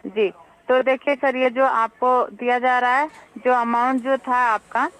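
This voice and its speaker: A woman's voice: fairly high pitch, little pitch movement, unhurried, fairly bright tone, clean tone. A woman with an Indian accent working as a call centre agent, politely explaining figures on a recorded phone call, often repeating herself and checking understanding.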